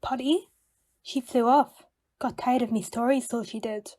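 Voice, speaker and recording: An actress playing a scatty, folksy pirate crew member in an audio drama, speaking in quaint seafaring dialect. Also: female voice, on the high side, steady tone, a little slow, darkish tone. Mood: apologetic, rueful.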